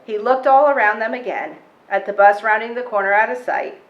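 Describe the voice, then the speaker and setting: A woman reading a picture book aloud to children as a storytime reader, with expressive, measured phrasing. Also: fairly high pitch, roomy sound, dull audio.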